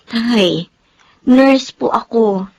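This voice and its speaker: A woman playing a dutiful, patient young Filipina nurse in a radio drama, gently pleading with her father. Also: fairly high pitch, drawn-out words, breathy edge, full character voice, poor audio. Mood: caring, hurt.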